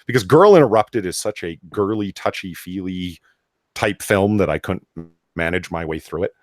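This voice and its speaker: A man's voice: low voice, animated, normal speed, dry recording, studio quality. A man co-hosting a live podcast, talking casually and rambling, laughing often. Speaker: podcast co-host. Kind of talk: casual chat.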